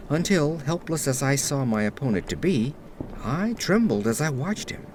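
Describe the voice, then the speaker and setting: A man narrating gothic horror fiction in a measured, suspenseful, dramatic storytelling style, reading from a script. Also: mid-range voice, a little hiss or hum. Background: ambient sound effects.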